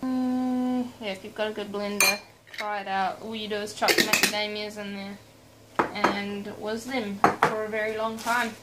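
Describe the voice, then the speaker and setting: A woman's voice: medium pitch, little pitch movement, normal speed. Chatty young woman in a noisy kitchen. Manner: chatty, playful, self-mocking asides. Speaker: cooking vlog host. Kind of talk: casual cooking demo. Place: small home kitchen at night. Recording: noisy home kitchen recording. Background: loud clatter of pots, cutlery and dishes.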